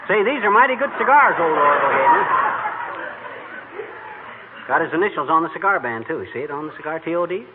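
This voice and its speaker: A man's voice: a little high, lively intonation, fast, pauses often, over a noisy crowd, close to an everyday voice. A man playing a blustery, gullible, wisecracking husband in a folksy 1940s radio comedy style. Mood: jovial. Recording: noisy, tinny 1940s radio recording.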